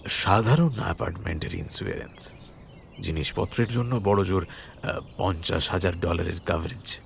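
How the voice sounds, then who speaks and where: Deep, gravelly police captain played by a man. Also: moderate pace, slight background noise. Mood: calm, explanatory. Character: calm, forthcoming male American police captain. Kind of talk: audio story acting.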